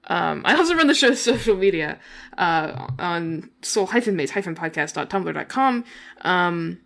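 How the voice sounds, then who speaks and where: A woman's voice: lowish voice, animated, normal speed, vocal fry, fairly bright tone. A woman co-hosting a podcast in casual chat, rambling and giggling often, coming down with a cold. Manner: giggly, rambling with many fillers. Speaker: podcast co-host.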